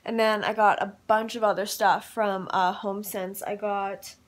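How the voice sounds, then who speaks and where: A chatty young woman in her twenties vlogging with a handheld camera at arm's length. She talks loosely and swears freely, drifting between weary grumbling and bursts of excitement. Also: medium pitch, normal speed. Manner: chatty, swears freely, swings from tired to hyped. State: congested. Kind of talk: casual vlog chat. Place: home living room, then car and mall.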